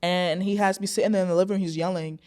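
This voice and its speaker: Low-voiced woman telling a story. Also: rapid-fire. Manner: animated, acts out quotes, frequent "like" fillers. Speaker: podcast guest. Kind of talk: personal storytelling. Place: studio.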